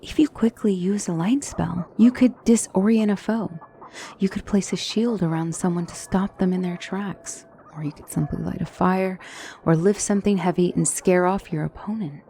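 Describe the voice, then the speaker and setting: Female demonic tutor, played by a woman, fantasy ASMR roleplay: lowish, gravelly-edged voice, poised and courtly, a gently reassuring mentor. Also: slight background noise, natural voice. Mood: reassuring, instructive. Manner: formal, measured, courtly phrasing. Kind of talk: ASMR fantasy roleplay, scripted character acting.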